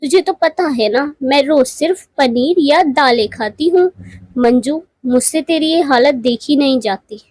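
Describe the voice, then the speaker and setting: Woman voicing haughty high-pitched rich lady bird. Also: a little slow, darkish tone, no echo, poor audio. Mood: condescending, boastful. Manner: condescending, showy. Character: haughty rich married female bird, boastful elder sister. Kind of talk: Hindi moral cartoon voice acting.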